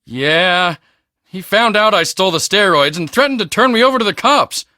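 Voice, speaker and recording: A man voicing a cocky, boastful teenage high school football star in a video game, with a swaggering, emphatic, self-important delivery. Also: lively intonation, normal speed, pushed and bright, dry recording, clean studio sound. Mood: uneasy, confessing. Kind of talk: video game character dialogue.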